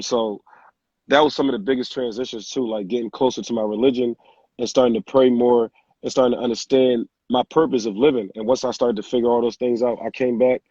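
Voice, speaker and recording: Relaxed young man on a phone stream. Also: medium pitch, husky, no room sound. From Senegal. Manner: relaxed, conversational, plain-spoken. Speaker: guest speaker, entrepreneur. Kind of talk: casual live-stream interview. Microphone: phone camera on a live video stream. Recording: dull lo-fi phone audio.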